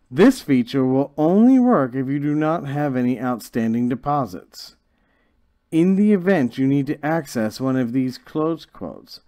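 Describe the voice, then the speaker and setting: A man narrating a scripted software tutorial in a measured, instructional way. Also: mid-range voice, dry recording, studio quality. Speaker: software trainer.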